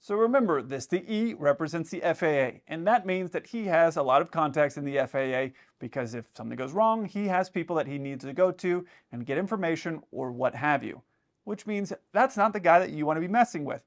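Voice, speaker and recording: A man's voice: on the high side, animated, a little fast. A man who is a pilot and host explains things in casual commentary, conversationally acting out imagined dialogue as he goes.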